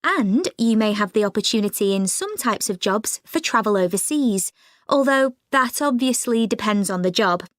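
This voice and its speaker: An actress playing an upbeat, informative recruitment consultant giving a clear, organised scripted talk to jobseekers. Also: female voice, medium pitch, lively intonation, moderate pace, no echo, studio quality, lightly acted. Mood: informative, encouraging. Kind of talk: scripted presentation.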